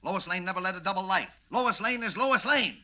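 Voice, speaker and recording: An actor playing a hot-tempered, loyal newspaper chief, sputtering and emphatic in 1940s radio style. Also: male voice, sits high, lively intonation, a little fast, bright, forceful tone, full character voice. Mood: indignant. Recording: dull old radio recording.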